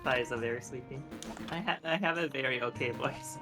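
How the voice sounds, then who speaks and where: A man's voice: medium pitch, brisk, music in the background. A man casually chatting and joking while streaming a video game, often laughing and poking fun at himself. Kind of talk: casual gaming chat.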